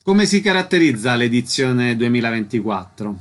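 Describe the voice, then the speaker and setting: A man's voice: mid-range voice, sing-song, normal speed. A man working as a radio host, presenting from a script.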